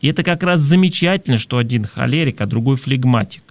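A man's voice: mid-range voice, animated, fast talker, no room sound. A man hosting an advice talk in a conversational, reflective way, explaining things and posing rhetorical questions. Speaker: talk show host. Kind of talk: conversational advice talk, reading listener messages.

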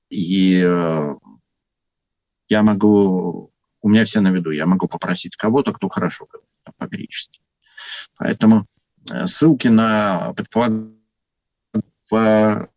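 A man with a husky voice giving an unscripted talk on church history, thoughtful and full of hesitations. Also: lowish voice, a little mellow, no room sound, dull audio.

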